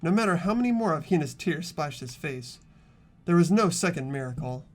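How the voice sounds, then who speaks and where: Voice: mid-range voice, lively intonation, brisk, faint music behind. A narrator reading scripted, dramatic visual novel text as the protagonist of a game playthrough.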